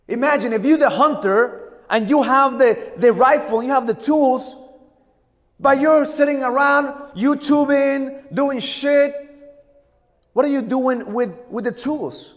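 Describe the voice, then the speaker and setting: A man's voice: extremely high pitch, slow pace, long reverb tail. A middle-aged man from Ecuador, a native Spanish speaker, giving a blunt, profane sales keynote and mixing Spanish with English. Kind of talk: motivational keynote talk. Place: hotel conference hall.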